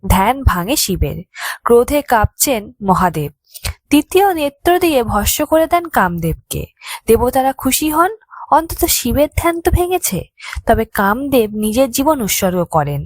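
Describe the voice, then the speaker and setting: A woman's voice: a little high, sing-song, moderate pace, no room sound. A woman reading a scripted mythological tale in a formal, literary storytelling manner. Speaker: storyteller narrator. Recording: background music under the voice. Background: music.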